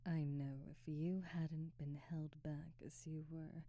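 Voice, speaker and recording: A woman playing an elegant, domineering vampire noblewoman in an intimate ASMR roleplay, speaking softly and breathily in formal, measured phrases. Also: deep voice, flat delivery, no echo, studio quality. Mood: admiring, teasing. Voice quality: soft, breathy, whispery. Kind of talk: intimate ASMR roleplay, slow whispery delivery.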